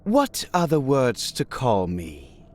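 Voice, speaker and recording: Slow, swooping god of deception. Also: male voice, medium pitch, huge pitch swings. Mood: thoughtful. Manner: measured, formal, cryptic. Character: smooth, enigmatic male god of deception, unhurried and knowing. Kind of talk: scripted fantasy audio drama acting. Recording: music bed under the voice.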